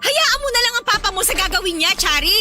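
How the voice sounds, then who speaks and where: Scolding older Filipino mother played by a woman, very high-pitched, reproachful, melodrama delivery over loud music. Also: animated, quick pace. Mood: alarmed, bossy. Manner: nagging, reproachful.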